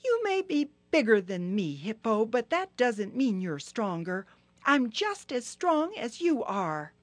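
A woman voicing a small female turtle with a high, insistent voice. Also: sing-song, a little slow, breathy edge, no room sound, full character voice. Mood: angry. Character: small female turtle, polite then angry.

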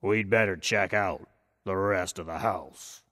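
A man voicing a slow, deliberate papa bear in a storybook tale. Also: sits low, bright, forceful tone, long reverb tail, voice pushed down. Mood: puzzled.

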